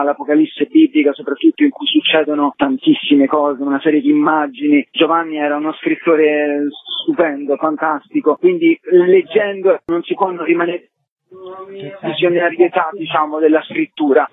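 A man's voice: a little high, dry recording. A young man with an Italian accent, a playwright and actor, answering in a radio interview in an articulate, discursive, wry way. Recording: thin phone-like line.

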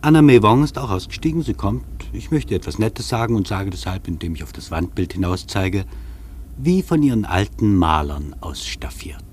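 An actor playing a wry, opinionated traveller who narrates his journey in a dry, measured, ironic way, with a husky voice. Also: on the low side, big pitch swings, a little mellow, a little hiss or hum. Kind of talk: literary first-person radio narration.

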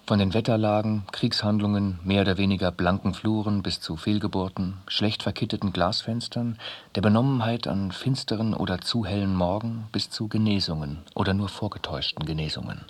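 A man with a husky voice reading literary prose as a narrator, with measured and deliberate phrasing. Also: lowish voice, steady tone.

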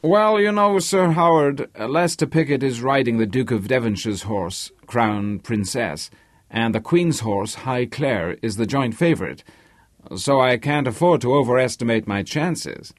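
A man's voice: medium pitch, animated, measured pace, dry recording, clean studio sound, close to an everyday voice. A man voicing a boastful, blustering, talkative rich American racehorse owner in an audiobook. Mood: expansive, hearty. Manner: loquacious, blustering. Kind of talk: audiobook character voice.

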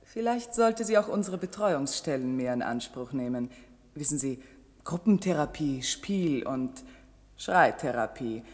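A woman's voice: a bass voice, expressive, a little slow, darkish tone. An actress playing a cheerfully officious counsellor in a science-fiction radio play, reciting like a saleswoman. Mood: brightly officious. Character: cheerfully officious female counsellor at futuristic entertainment centre. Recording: long reverberant hall.

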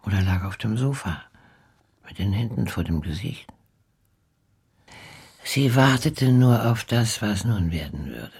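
An actress playing an elderly woman who recalls wartime losses in a measured, grave radio drama narration with long pauses. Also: rumbling low, slight background noise, heavily acted. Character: elderly Czech woman Vera recalling her friend's wartime deportation.

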